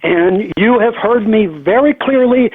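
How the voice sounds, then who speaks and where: A man's voice: sits high, measured pace. A man arguing over the phone in an indignant, insistent, repetitive and legalistic manner. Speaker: prank call recipient, homeowner. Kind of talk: phone argument. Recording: muffled narrowband phone line.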